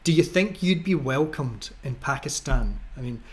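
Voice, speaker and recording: A man's voice: medium pitch, expressive, normal speed. Sarcastic Scottish man ranting. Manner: mocking, indignant, ranting. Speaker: commentary channel host. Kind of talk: sarcastic political commentary.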